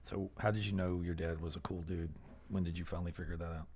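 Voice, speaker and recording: A man hosting a casual live-stream interview, enthusiastic and loose, cursing now and then as he thinks out loud. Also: sits low, little pitch movement, fast talker, slight background noise. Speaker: host.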